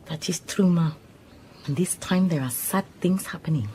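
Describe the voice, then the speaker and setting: An actress playing an earnest, deferential secretary in a radio drama, formal and careful, with a Southern African accent. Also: female voice, deep voice, normal speed, mellow, a little hiss or hum, lightly acted. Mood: earnest, polite. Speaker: supporting actor in a radio drama.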